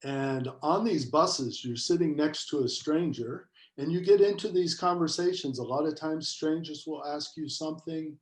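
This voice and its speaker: A man, a missionary priest, telling stories in a relaxed way on a video call. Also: fairly high pitch, moderate pace, few pauses, roomy sound. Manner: relaxed anecdotal storytelling. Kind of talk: casual conversation on a video call.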